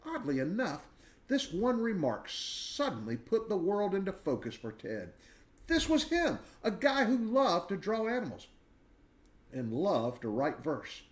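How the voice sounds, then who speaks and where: Animated man reading a storybook aloud. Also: sits high, measured pace. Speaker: reader of a children's biography. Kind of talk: expressive storybook read-aloud.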